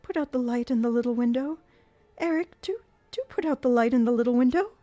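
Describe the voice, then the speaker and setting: A woman voicing a frightened young woman who pleads gently in a high voice, with faint music behind. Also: fairly quick, close to an everyday voice. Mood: pleading.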